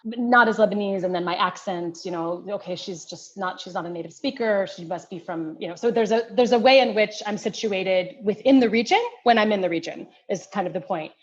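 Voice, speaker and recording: A woman's voice: mid-range voice, brisk, hardly any pauses, muffled recording. A middle-aged woman from the United States, an anthropologist answering interview questions in a thoughtful, casual way with many restarts and fillers. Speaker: guest anthropologist.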